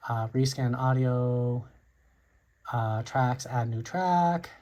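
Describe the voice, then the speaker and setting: A tech video host casually walking through a product tutorial in a hesitant, halting, informal way. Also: medium pitch, darkish tone.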